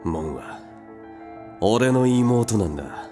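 An actor in a radio drama playing a coarse, hot-tempered older brother who is fiercely protective. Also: male voice, lowish voice, lively intonation, slow, mellow, soft background music. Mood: low menace. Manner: coarse, blunt rough speech. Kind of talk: Japanese radio drama acting.

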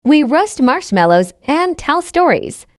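A woman reading a scripted story for language learners, with careful enunciation and identical, measured phrasing. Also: high-pitched, big pitch swings, dry recording, clean studio sound. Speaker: narrator of English learning stories.

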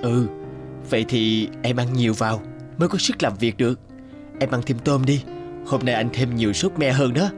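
A man playing a gentle, doting working-class young husband in a cartoon, caring and plain-spoken. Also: medium pitch, moderate pace, over music, close to an everyday voice.

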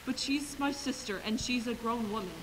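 A young woman acting a resolute, compassionate Mother Superior in a stage play, with earnest, deliberate delivery. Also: on the high side, lightly acted. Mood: firm, sorrowful. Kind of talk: amateur stage acting in a high school play. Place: theater stage, indoors. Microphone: distant camera. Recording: hissy stage recording from audience camera.

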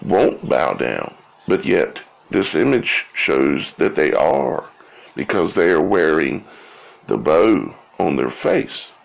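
Slow, gravelly, deep-voiced man explaining. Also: poor audio. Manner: halting, repeats words, often checks with "okay?" and "right?". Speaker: video creator. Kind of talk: informal explanatory monologue.

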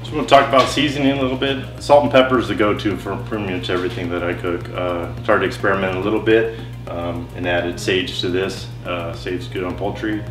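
A man in his forties co-hosting a home cooking show, explaining steps in a relaxed, plain-spoken way with ums and uhs. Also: sits low, fast. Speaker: home cook, show co-host. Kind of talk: casual cooking demonstration. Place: home kitchen, indoors. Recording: echoey kitchen with faint music. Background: background music.

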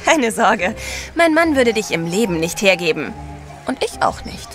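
Eine Schauspielerin spielt in einem Hörspiel eine vornehme, liebevoll neckende Ehefrau eines Gentlemans. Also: female voice, mid-range voice, very animated, measured pace, faint music behind. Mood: curious, delighted. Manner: polite, playful. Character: refined, teasing, affectionate gentleman's wife. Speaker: actor in an audio drama. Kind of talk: German audio drama acting.

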